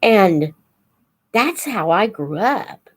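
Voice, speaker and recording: An older woman chatting casually as a live host for young viewers, in an unhurried, reflective, folksy manner. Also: mid-range voice, very animated. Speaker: host of a children's book read-aloud channel.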